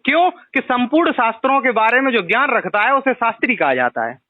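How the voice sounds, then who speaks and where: A man speaks in a high register over a narrow, tinny telephone connection. He has a western Uttar Pradesh accent and explains his points insistently, pushing to be heard in an argumentative conversation. The sound is dry, with no room around it. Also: lively intonation, brisk, dry recording. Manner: insistent, explanatory, repeatedly interjecting. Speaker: caller. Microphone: phone line. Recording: tinny phone call recording.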